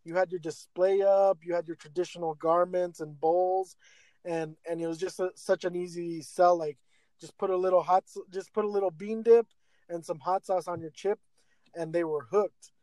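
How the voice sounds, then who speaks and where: A man's voice: high-pitched, steady tone, normal speed, mellow, dry recording. A man chatting as an interview guest, casual and filler-heavy, saying "like" and "man" a lot. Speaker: guest, friend helping the business.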